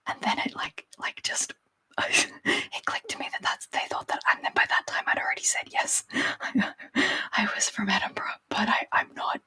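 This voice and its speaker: An Australian woman whispering a casual, rambling ASMR livestream, softly repeating words as she chats. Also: mid-range voice, unhurried. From Canberra, Australia.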